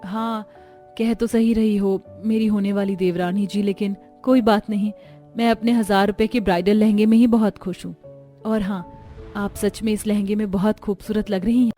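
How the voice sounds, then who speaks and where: A woman voicing a humble, gentle young daughter from a poor family in a cartoon story, speaking softly and earnestly. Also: medium pitch, fairly even intonation, moderate pace, faint music behind, natural voice. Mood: gracious, content.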